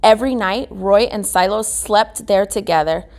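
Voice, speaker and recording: A woman reading a children's picture book aloud as a guest reader, phrasing it deliberately with emphasis. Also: medium pitch, darkish tone. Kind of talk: children's storybook read-aloud.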